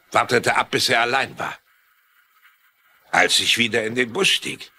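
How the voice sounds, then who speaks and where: A man's voice: medium pitch, bright, forceful tone, breathy edge, full character voice. An actor playing a grieving father who is intense and anguished, in a German-dubbed TV mystery. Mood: bitter, recounting. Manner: intense, emotional, faltering. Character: anguished grieving father confessing revenge killing.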